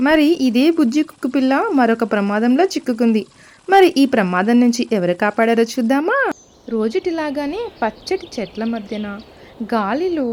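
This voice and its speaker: Gentle woman telling a children's story. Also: a little high. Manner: speaks to children directly, gentle and expressive.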